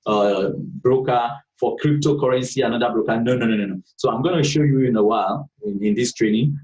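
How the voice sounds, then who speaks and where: A man's voice: medium pitch, fairly quick, darkish tone, far from the mic, highs cut off. A man teaching beginners in a live online class, going over each point patiently and checking in with the group again and again. His voice sounds set back from the mic, with a roomy echo around it. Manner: patient, repeats points, frequent "okay" checks. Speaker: trading instructor.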